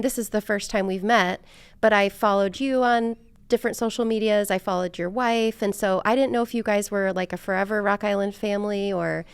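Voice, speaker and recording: A woman's voice: medium pitch, clear, resonant tone, dry recording. A woman with an American accent hosting a casual conversational interview, affirming her guest as she chats. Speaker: podcast host.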